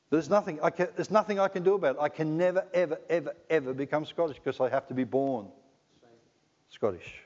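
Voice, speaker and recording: A man's voice: fairly high pitch, a little fast, pauses often. A middle-aged man with an Australian accent preaching a sermon in a conversational, joking way, often asking the congregation questions. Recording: dull lo-fi recording in a reverberant hall.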